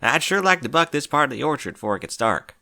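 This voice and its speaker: Guy doing a skeptical country girl pony, southern twang, bright, plain-spoken, bit raised pitch, storybook. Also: very animated, quick pace, vocal fry, full character voice. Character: folksy, skeptical female farm pony.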